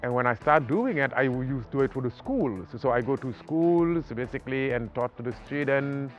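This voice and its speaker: Halting Senegalese man with soft music. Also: mid-range voice, expressive, lo-fi sound. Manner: halting, many pauses and fillers. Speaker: artist. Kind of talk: documentary interview.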